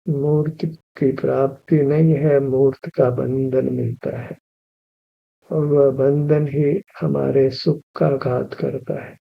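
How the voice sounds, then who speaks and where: A man guides a meditation at a very slow, unhurried pace, his voice heavy with gravelly fry. The tone is husky rather than clear. The recording sounds dull and lo-fi. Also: mid-range voice. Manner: slow, even, instructive, repeats key phrases. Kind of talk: guided meditation with spiritual teaching.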